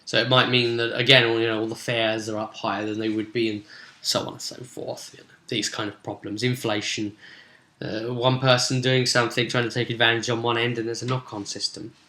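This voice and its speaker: A man hosts an informal recap of his tabletop role-playing game, speaking as the game master. His voice is bright and forward, with a chatty storyteller's delivery. The recording sounds roomy, with noticeable echo. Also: mid-range voice, level tone, fairly quick. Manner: chatty, storytelling, acting out brief bits of dialogue. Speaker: game master and vidcast host.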